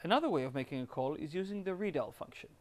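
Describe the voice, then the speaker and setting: A man's voice: a little high, animated, quick pace, a little hiss or hum. A man giving scripted step-by-step instructions as an upbeat tutorial presenter.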